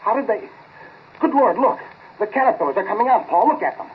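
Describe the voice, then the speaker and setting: An actor playing a frightened, stammering laboratory assistant in a 1940s radio horror play. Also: male voice, sits high, lively intonation, fairly quick. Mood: panicked. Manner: stammering, exclaiming. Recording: tinny, noisy 1940s radio recording.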